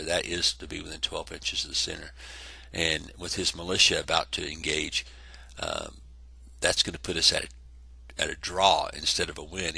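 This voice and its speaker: Man, congested, very low husky voice with a bright edge, rambling casual wargame recap. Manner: rambling, self-correcting, lots of fillers. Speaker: tabletop wargame player narrating a battle report. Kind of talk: casual battle report narration over photos.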